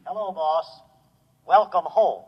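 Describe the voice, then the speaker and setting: An actor playing a loyal, defiant servant robot in a deferential, steadfast manner in a 1950s radio drama. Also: male voice, sits high, takes it slowly. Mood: eager, reassuring. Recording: muffled, noisy 1950s radio recording.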